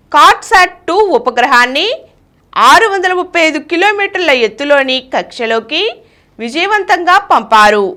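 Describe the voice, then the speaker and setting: A woman reads the daily news in a high voice that rises and falls a great deal. Her delivery is formal and steady, item after item. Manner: steady formal newsreading cadence. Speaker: news reader. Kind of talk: read news bulletin.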